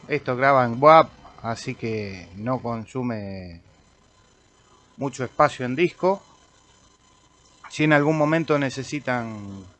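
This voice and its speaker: A man with an Argentine Spanish accent giving an informal software tutorial, thinking aloud as he goes. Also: mid-range voice. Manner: informal, thinking aloud while clicking through. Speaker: amateur radio operator demonstrating software. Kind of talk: casual screen-recorded software tutorial.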